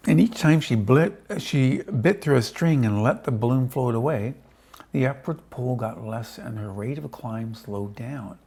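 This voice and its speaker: A man reading a children's storybook aloud casually, with chatty asides to his listeners and a slightly husky voice. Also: medium pitch, animated, unhurried, darkish tone. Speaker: storybook reader.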